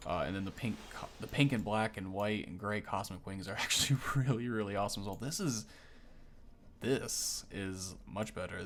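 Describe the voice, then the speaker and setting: A man hosting a gaming video, giving casual, easily impressed first-look commentary that rambles and corrects itself. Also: fairly low pitch.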